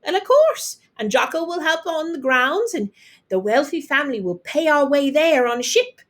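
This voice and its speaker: A woman voicing a brisk, chatty neighbour woman in a storybook, emphatic and matter-of-fact. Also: high-pitched, sing-song, voice pushed up.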